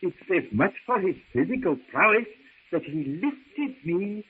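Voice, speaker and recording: High, husky doddering old professor. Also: male voice, big pitch swings, a little slow, exaggerated voice. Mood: fussy, flustered. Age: older. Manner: pompous, stammering, absent-minded. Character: doddering, long-winded old professor, vain and fussy. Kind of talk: 1930s radio serial acting. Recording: tinny, band-limited old radio recording.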